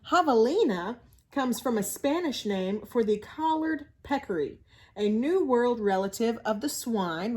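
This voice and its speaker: A woman with a fairly high voice reads a picture book to children. She is expressive and teacherly, stepping out of the story to explain things and put simple questions to her listeners. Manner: expressive, teacherly, often asks rhetorical questions. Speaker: school librarian reading aloud to children. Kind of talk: children's story read-aloud with asides and questions.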